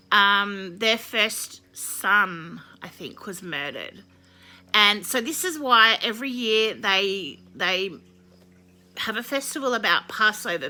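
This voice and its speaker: A woman talking slowly and unhurriedly, her tone strikingly bright and forward. Her voice is a little croaky today, and she meanders through her explanation with ums and quick self-corrections. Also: medium pitch. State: slightly croaky voice. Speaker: video host explaining a holiday. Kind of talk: casual explanatory talk to camera.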